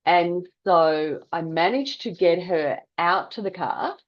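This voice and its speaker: A middle-aged woman tells a personal story in a reflective, deliberate way with dramatic pauses. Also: lowish voice, dull audio. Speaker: health vlogger telling a personal story.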